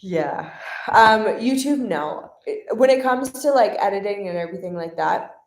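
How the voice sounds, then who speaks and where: A woman's voice: mid-range voice, animated, moderate pace. Laughing young woman in an echoey room. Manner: upbeat, laughs easily. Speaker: travel vlogger co-host. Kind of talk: casual livestream chat reading viewer questions. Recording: roomy echo.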